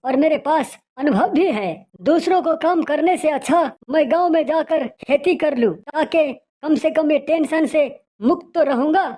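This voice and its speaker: A woman's voice: high-pitched, a little slow, few pauses, warm tone. A woman voicing a stressed, busy young man, a chatty friend in a moral story. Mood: weary, complaining. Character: stressed, busy young male friend tired of office life. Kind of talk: acted moral-story dialogue.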